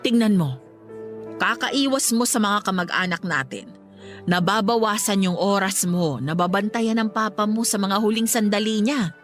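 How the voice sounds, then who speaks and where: A woman playing a concerned middle-aged mother who gently scolds her grown child in a Tagalog radio drama. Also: mid-range voice, moderate pace, soft background music, natural voice. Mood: firm, reproachful.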